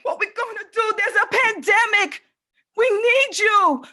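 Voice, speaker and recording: Woman acting lonely drama queen, super high voice, sharp n bright, pleading, drags it out. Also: a little slow, full character voice. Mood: distraught. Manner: melodramatic, pleading, repetitive. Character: lonely, dramatic young woman hooked on online parties. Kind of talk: acted comic monologue.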